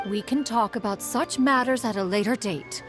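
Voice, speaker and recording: An actress playing a dignified, composed and resolute auntie in a video game, with formal, stately phrasing. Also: female voice, fairly high pitch, moderate pace, over music, lightly acted.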